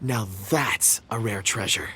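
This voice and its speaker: A man voicing an affable, courteous and enthusiastic gentleman rock expert in a video game, eloquent and slightly theatrical. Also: lowish voice, a little slow, breathy edge, exaggerated voice. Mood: musing, eloquent. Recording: music behind the voice.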